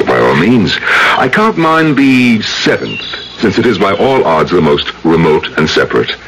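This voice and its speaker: A mysterious, courteous lodger in a vintage radio play. His voice is very low and swoops expressively, over clear recording noise. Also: sing-song, moderate pace. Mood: wry, mysterious. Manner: courteous, enigmatic. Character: wry, mysterious male lodger, courteous and brooding. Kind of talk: old-time radio drama acting. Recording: noisy old radio drama recording.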